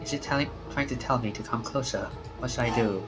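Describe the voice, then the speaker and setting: A man voicing an anxious, excitable young man in a visual novel, with dramatic exclamations. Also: mid-range voice, brisk, lightly acted. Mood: suspicious, alarmed. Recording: game music behind the voice.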